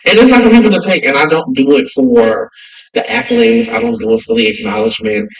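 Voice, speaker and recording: A man's voice: high-pitched, sing-song, fast, creaky. A man talking as a podcast guest in casual chat, emphatic and repeating phrases for effect. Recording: distant from the mic.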